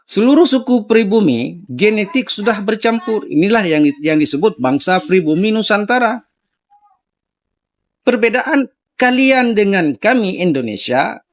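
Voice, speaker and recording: A man speaking directly to someone in a video response, insistently challenging him with repeated questions. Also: high-pitched.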